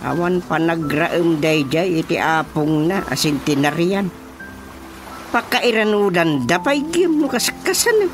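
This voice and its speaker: Slow, swooping Ilocano village chairman. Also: a little high, big pitch swings. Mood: grumbling. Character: drawling, blunt male village chairman in comedy drama. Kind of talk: Ilocano radio comedy drama acting. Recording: music bed behind the voice.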